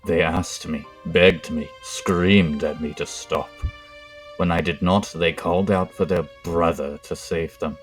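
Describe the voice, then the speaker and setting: A man voicing a grim, obsessive scientist in a dramatic comic dub, with a hushed, measured, formal and menacing delivery. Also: sits low, steady tone, soft background music. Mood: cold, brooding. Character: cold, grim, obsessive male royal scientist, weary and menacing.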